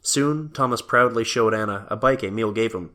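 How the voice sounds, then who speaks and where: Casting: male narrator, low voice, scripted storytelling for an audio drama. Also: normal speed, bright, forceful tone.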